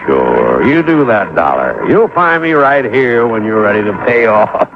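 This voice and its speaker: An actor playing a cocky, dismissive high-rolling gambler with a breezy, slangy delivery in old-time radio style. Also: male voice, sing-song, unhurried. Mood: cocky, taunting. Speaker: actor in a radio detective drama. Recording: tinny, noisy old radio recording.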